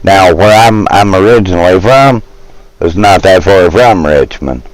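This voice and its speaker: A man from Virginia, chatting casually with live viewers, rambling and halting as he searches for words. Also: low-pitched, low-quality recording. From West Virginia. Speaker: streamer.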